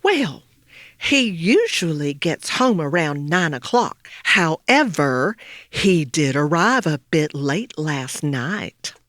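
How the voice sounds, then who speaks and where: A woman's voice: low-pitched, sing-song, slow, a bit bright, dry recording, studio quality, heavily acted. A woman playing a worried, homely butcher's wife with a careful, hesitant manner, in a comic radio play. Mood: uneasy.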